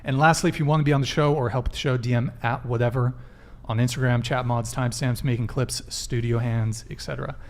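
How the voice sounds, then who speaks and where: A man's voice: mid-range voice, fairly even intonation, quick pace, hardly any pauses. A man hosting a podcast panel, running through announcements and questions with joking asides. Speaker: podcast host. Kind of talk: casual panel talk.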